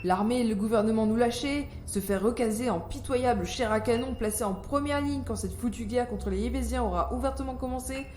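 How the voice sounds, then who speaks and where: A woman's voice: on the high side, fairly quick, hardly any pauses, darkish tone, a little hiss or hum, close to an everyday voice. A woman playing an ambitious, calculating scientist in an audio drama, articulate, self-assured and coolly persuasive. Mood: scheming, persuasive. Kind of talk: scripted audio drama acting. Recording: roomy sound, faint music behind.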